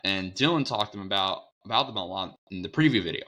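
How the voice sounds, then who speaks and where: A young man with a hoarse voice from a cold, hosting a casual sports preview show, rambling and correcting himself as he goes. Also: low voice, expressive, quick pace, sharp tone. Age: young adult. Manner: rambling, self-correcting, upbeat.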